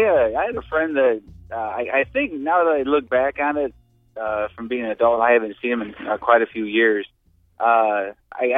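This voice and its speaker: An American man calling in to a radio show and reminiscing casually, halting often and repeating words as he talks. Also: medium pitch, no echo. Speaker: radio call-in listener. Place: on a phone call to a radio studio. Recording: muffled phone-like call-in line.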